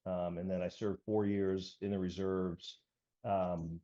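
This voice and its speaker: An American man in his fifties, a podcast guest recounting his past in a genial, measured way with hesitant phrasing. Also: low-pitched, little pitch movement, dark tone. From United States. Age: 50s. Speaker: podcast guest, military veteran. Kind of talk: conversational interview, recounting. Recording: slightly dull, lo-fi audio.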